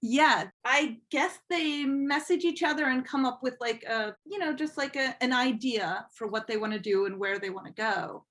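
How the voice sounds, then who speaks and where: A woman chatting casually as an interview guest, thinking aloud in an informal, halting way. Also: high-pitched, a bit bright. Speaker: podcast guest, fan fiction writer.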